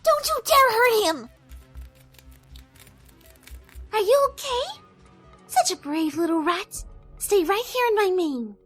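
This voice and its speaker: A timid little filly pony, very high and bright. She sounds childlike, earnest and tender-hearted, with lively pitch, as in a cartoon audio drama. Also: unhurried, faint music behind, full character voice. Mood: protective.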